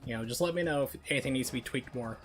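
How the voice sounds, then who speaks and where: A man's voice: mid-range voice, racing. A man live-streaming a video game, chatting casually with viewers and explaining things as he plays. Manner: conversational, explanatory. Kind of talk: casual gameplay commentary with chat. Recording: game audio and music behind. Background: video game sound and music.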